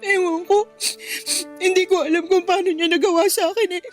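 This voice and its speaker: A woman's voice: very high-pitched, fairly even intonation, a little fast, soft background music. A woman acting a hurt, furious young woman in a Filipino radio drama, with an emphatic, accusing delivery. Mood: tearful, hurt. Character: hurt, furious young woman confronting her cheating boyfriend.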